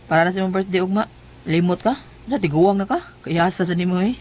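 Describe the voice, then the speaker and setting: A woman's voice: lowish voice, fast, darkish tone, lightly acted. A woman playing a tough, brash tomboyish wife with blunt, slangy, swaggering talk in a comedic radio drama. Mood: bossy, teasing.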